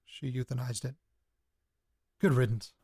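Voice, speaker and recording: An actor playing a jittery, bitter young man in an audio drama, speaking in a halting, sardonic, conversational way. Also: medium pitch, fairly even intonation, mellow, slightly rough, no echo, studio quality. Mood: indignant, dismissive. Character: jittery, bitter young man investigating his grandparents' past. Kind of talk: audio drama, naturalistic spoken recorded diary.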